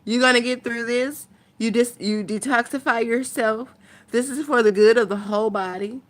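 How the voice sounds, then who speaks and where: A woman's voice: a little high, fairly even intonation, moderate pace. A woman chatting casually to her viewers as a vlogger, thinking aloud in a rambling way.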